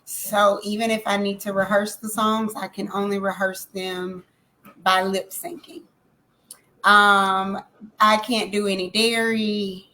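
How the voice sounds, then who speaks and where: A woman singer talks casually in an interview. She is emphatic and animated and repeats phrases for effect. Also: mid-range voice, takes it slowly. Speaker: singer guest.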